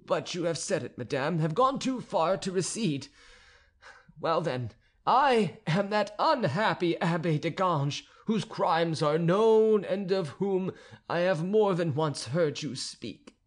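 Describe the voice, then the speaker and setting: A man's voice: high voice, animated, slow pace, voice pushed up, full character voice. A man reading an audiobook, voicing a desperate, disgraced abbé who talks in an earnest, imploring way. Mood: despairing.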